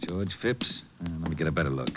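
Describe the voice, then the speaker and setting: A man's voice: sits low, animated, fast. A man playing a long-suffering, exasperated police lieutenant who grumbles and barks sarcastically in an old-time radio drama. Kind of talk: 1940s-50s radio drama acting. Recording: noisy, dull old radio recording.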